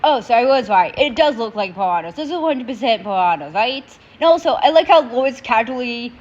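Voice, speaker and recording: A young woman with a stuffy nose and sore throat, hosting a casual reaction video in an animated, opinionated way. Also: fairly high pitch, a little fast, muffled recording, noisy background. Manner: animated, opinionated ranting to viewers.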